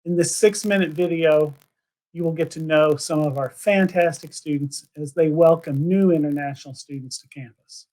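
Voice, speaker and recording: A man's voice: on the high side, normal speed, mellow, low-quality recording. A middle-aged man giving a prepared presentation to camera as a host. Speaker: host introducing a student video. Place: book-lined office, indoors.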